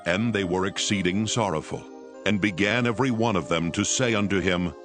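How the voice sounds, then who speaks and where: A man's voice: fairly low pitch, a little fast. A man giving a scripted narration of scripture in archaic English. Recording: voice over prominent music.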